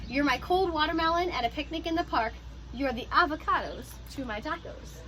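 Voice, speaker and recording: High-pitched woman reading to young children. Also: fairly quick, fairly bright tone, poor audio. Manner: simple, child-directed explaining. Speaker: teacher reading a children's book aloud. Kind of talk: picture-book read-aloud with simple explanations for young children.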